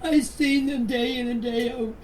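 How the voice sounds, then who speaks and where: A woman's voice: fairly high pitch, steady tone, normal speed, a little mellow. An older woman from Canada gives an earnest, defensive personal account in a voice message, insisting on her points and repeating them. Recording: distant, noisy phone voice message.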